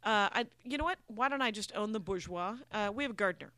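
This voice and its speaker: Bright-voiced joking woman comedian. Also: mid-range voice, animated, fast, dry recording. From Wisconsin, USA. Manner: rambling, joking, slips into mock voices. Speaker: stand-up comedian and guest. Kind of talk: casual comedic chat.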